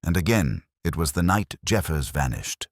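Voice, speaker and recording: A frightened, fretful Victorian man, acted out by a male narrator, speaks in a deep but unsettled voice. His exclamations swing in pitch, set in a dry, close audiobook recording. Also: lively intonation, normal speed, no room sound. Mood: alarmed. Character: anxious, shaken Victorian man bringing bad news.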